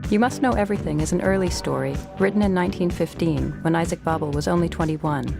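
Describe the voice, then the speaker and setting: A woman with a lowish voice and noticeable creak. She speaks as the host of a literary podcast, moving between scripted introduction and interview, with some music in the recording. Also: fast. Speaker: podcast host and fiction editor.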